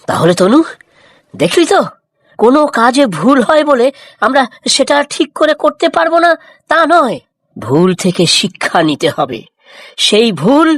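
Woman voicing patient farmer dad, lively pitch. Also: no room sound. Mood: encouraging. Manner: gentle, coaxing, reassuring. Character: kind, patient village farmer father, encouraging his son. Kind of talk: Bengali moral cartoon dialogue.

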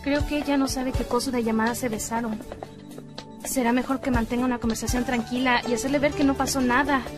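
Una mujer con acento latinoamericano interpreta a una adolescente sensata, observadora y expresiva en un doblaje de anime hecho por fans. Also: female voice, fairly high pitch, steady tone, fast, natural voice. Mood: thoughtful, firm. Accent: Latin American Spanish. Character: sensible, observant teenage schoolgirl, exasperated friend. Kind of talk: anime fan dub acting. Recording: loud music behind the voice.

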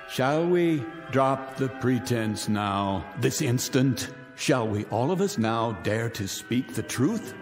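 A man's voice: medium pitch, big-room sound. An actor playing a scornful man who taunts his captives in a radio drama, with a mocking, theatrical manner.

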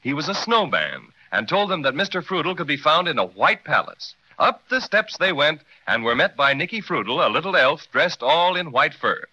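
A suspense-building children's radio narrator from the 1930s. The voice is slightly high, very forceful and animated. It comes through a dull, dated broadcast recording. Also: male voice, moderate pace, few pauses, full character voice. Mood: storytelling. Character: warmly dramatic storyteller announcer of a children's serial.

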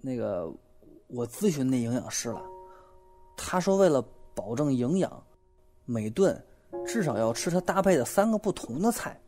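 A man acting an impulsive, animated young shop boss. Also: mid-range voice, normal speed, soft background music. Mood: explaining. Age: young adult. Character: impulsive, soft-hearted young male bookstore boss putting on an act. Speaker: voice actor. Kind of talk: Chinese audio drama acting.

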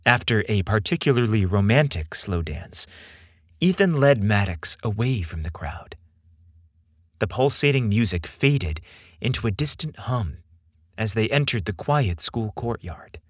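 A man reading scripted fiction as a storyteller, with theatrical, deliberate phrasing. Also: lowish voice, big pitch swings, dry recording, clean studio sound. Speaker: narrator of a fiction podcast. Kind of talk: scripted dramatic story narration.

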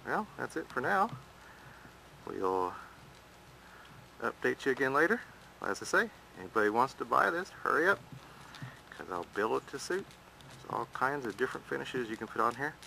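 A man's voice: medium pitch, very animated, moderate pace, gravelly, pauses often, bright, forceful tone, a little hiss or hum, low-quality recording. A man restoring an old wooden boat in his backyard, narrating over his own camera footage in a plain-spoken, practical way. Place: outdoors in a backyard.

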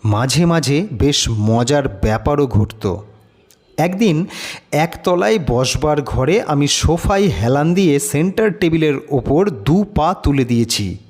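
Slow, expressive male story narrator, higher-pitched. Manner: measured, suspenseful storytelling. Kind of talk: dramatic scripted audio story narration.

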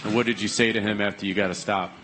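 A male reporter asking a brisk question at a press conference. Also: on the low side, fairly even intonation, a bit bright, slight background noise.

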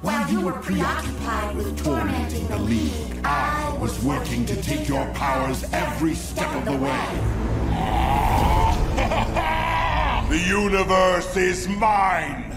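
Alien overlord villain: gravelly, slow, pompous and menacing, echoing under loud music. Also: male voice, high-pitched, sing-song, heavily acted. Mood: gloating. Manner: pompous, gloating villain proclamations. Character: menacing, arrogant alien starfish conqueror bent on ruling the universe. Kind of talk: animated video game cutscene acting.

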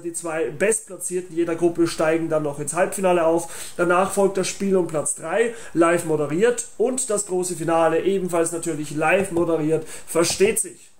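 A man's voice: high voice, darkish tone. A young man, hosting an online channel and making an informal promotional announcement to viewers. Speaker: YouTube channel host and tournament organiser.

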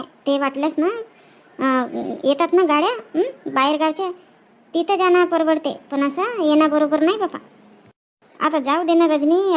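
A woman's voice: sits high, natural voice. A woman voicing a chatty village farm labourer in a cartoon comedy.

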